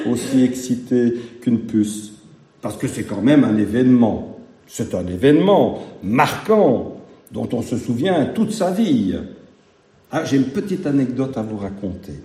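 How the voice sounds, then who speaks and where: Preacher with a very dark, mellow voice. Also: male voice, mid-range voice, roomy sound. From Belgium. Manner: folksy, humorous, emphatic repetition. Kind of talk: sermon.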